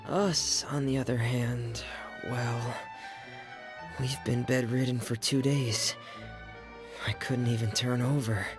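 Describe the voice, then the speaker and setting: Shy, soft-spoken young man. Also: mid-range voice, fairly even intonation, takes it slowly. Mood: subdued. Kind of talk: video game voice acting. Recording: game audio over music.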